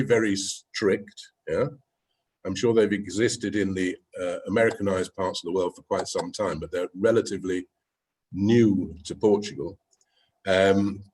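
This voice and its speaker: A man speaking on a webinar panel as a real estate agent, measured, often checking in with "yeah?". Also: fairly low pitch, a little mellow, slightly rough. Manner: measured, with frequent "yeah?" tags. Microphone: video call.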